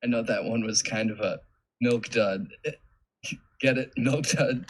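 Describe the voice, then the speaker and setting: A man's voice: medium pitch, takes it slowly. A young man playing a goofy student in a mockumentary. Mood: joking. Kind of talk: acted mockumentary.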